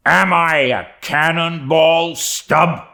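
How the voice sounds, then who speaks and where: A man's voice: a little high, slow, creaky, pushed and bright, voice pushed up, full character voice. A man voicing a scornful, wrathful old sea captain with a commanding, explosive manner and archaic speech, from a classic novel reading.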